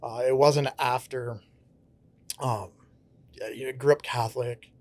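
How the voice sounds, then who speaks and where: A man's voice: medium pitch, steady tone, a little slow. A man from Connecticut with a Connecticut accent, a pastor telling his life story in an earnest, reflective way during a casual interview.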